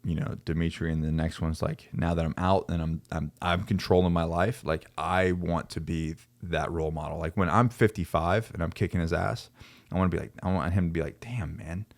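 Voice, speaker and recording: Deep gravelly man on a podcast. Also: fairly even intonation, a little fast, no echo. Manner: earnest, emphatic, many restarts. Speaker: podcast host and coaching company owner. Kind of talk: casual podcast conversation. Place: podcast studio, dark backdrop. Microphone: studio mic on boom arm with headphones.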